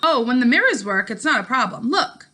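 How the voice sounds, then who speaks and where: A woman's voice: a little high, sing-song, a little fast, pushed and bright. A woman voicing a bold, confident girl in a storybook, breezy and mocking.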